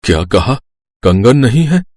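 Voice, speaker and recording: A man's voice: medium pitch, very animated, measured pace, a little mellow, dry recording, studio quality. A man voicing a plain village father. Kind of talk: cartoon voice acting.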